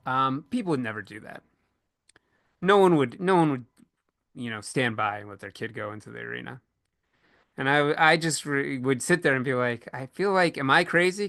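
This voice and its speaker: A man co-hosting a podcast panel, thinking aloud in a halting way and often repeating words as he goes. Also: mid-range voice, vocal fry, fairly bright tone, no room sound, studio quality.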